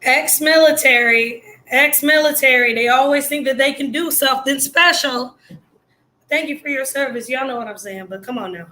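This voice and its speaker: A hoarse woman giving casual, blunt live reaction commentary full of slang. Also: high-pitched, moderate pace, pushed and bright. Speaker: livestream commentator.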